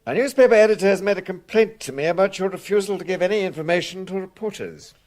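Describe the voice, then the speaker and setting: A man's voice: high voice, quick pace, darkish tone, close to an everyday voice. An actor playing a formal, exacting male magistrate who questions probingly in a British radio crime drama.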